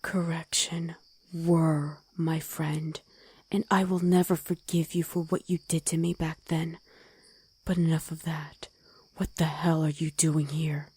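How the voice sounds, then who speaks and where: A woman voicing a gruff, protective wolf girl ex-soldier in an ASMR roleplay, stern and commanding, often in a hush. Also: low-pitched, level tone, unhurried, no room sound, exaggerated voice. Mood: cold, suspicious.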